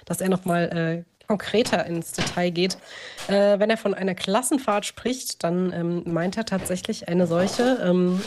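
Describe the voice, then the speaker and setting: A woman's voice: fairly low pitch, unhurried, a little mellow, slight background noise. A woman co-hosting a podcast in a casual, chatty way, often interrupting herself with joking asides into her own microphone. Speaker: podcast co-host. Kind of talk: casual chat. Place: indoors, remote connection with own microphone setup. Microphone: own clamped desk microphone.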